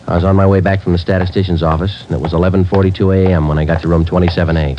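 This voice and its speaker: A man's voice: low-pitched, flat delivery, very fast, natural voice. An actor playing a terse male police detective sergeant who narrates in a clipped, matter-of-fact way, in a 1950s radio drama. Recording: dull old radio transcription with faint hiss.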